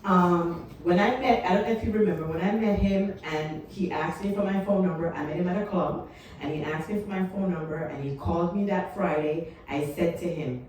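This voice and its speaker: A woman in her fifties tells stories in a relaxed way, with a mellow, lowish voice. She sits well back from the mic in a very echoey room. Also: a little fast, hardly any pauses, far from the mic, a little hiss or hum. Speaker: panel guest. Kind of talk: panel discussion.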